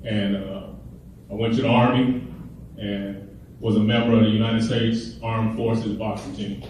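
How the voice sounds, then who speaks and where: A man's voice: on the low side, level tone, a little slow, a little mellow. A middle-aged man from Chicago giving a keynote speech and telling funny stories in a casual way. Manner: storytelling, humorous, conversational. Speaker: keynote speaker. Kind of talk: motivational speech. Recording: echoey hall, mic far off, faint hiss.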